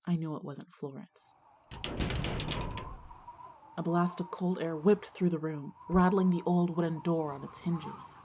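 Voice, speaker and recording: Audio drama narrator: uneasy, earnest schoolgirl, lower register, ghost-story telling, noisy recording. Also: steady tone, measured pace, pauses often. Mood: suspenseful. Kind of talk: scripted first-person ghost story narration and dialogue.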